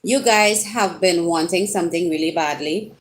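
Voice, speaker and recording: A woman's voice: on the low side, moderate pace. A Trinidadian woman hosts a live tarot stream, talking casually to her viewers through a webcam mic. She teases them directly and her voice jumps up in sudden exclamations, with a faint hiss of noise underneath. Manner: chatty, teasing viewers directly, rising exclamations. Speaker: tarot reader.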